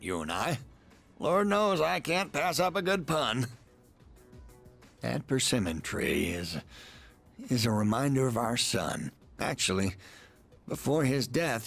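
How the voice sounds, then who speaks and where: A man playing a genial, folksy old husband in a video game, with a creaky voice and a joking way of talking. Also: medium pitch, a bit bright, full character voice. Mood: wistful. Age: older. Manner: folksy, jovial.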